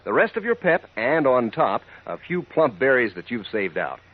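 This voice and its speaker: A man's voice: medium pitch, big pitch swings, normal speed. A man announcing a 1940s radio advertisement with peppy, folksy salesmanship, on a tinny old recording. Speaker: commercial announcer.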